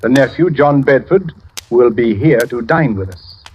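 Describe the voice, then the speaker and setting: A faintly noisy vintage radio recording of a man acting a smug former detective. He speaks at a middle pitch, measured, assured and pompous. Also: animated, dull audio, lightly acted. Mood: assured, precise. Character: self-satisfied English former detective, shrewd and theatrical. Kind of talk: old-time radio drama acting.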